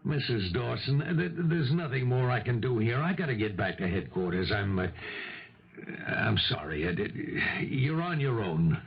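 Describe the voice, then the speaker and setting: An actor playing a weary, reluctant police lieutenant, blunt but stammering when cornered, in 1970s radio mystery style. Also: male voice, mid-range voice, expressive, normal speed, creaky, slightly rough. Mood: exasperated. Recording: dull vintage radio broadcast recording.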